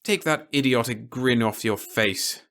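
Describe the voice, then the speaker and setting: A man voicing a stern, articulate male official in an audiobook, with emphatic, deliberate, formal phrasing. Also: mid-range voice, fairly bright tone, voice pushed up. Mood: stern, warning. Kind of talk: audiobook character dialogue.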